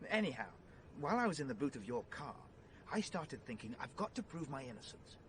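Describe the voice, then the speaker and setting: An actor playing an anxious, well-bred British gentleman on the run in a vintage radio drama, plummy, earnest and flustered. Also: medium pitch, sing-song, fairly quick, natural voice. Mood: pleading. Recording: noisy vintage radio play recording.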